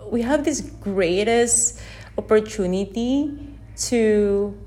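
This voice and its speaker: A woman from the Philippines whose first language is Tagalog, talking unscripted into a phone held in one hand on her podcast, gently and with many restarts as she searches for words. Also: mid-range voice, slow pace. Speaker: podcast host. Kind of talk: unscripted reflective podcast monologue. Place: unedited setting with background sound.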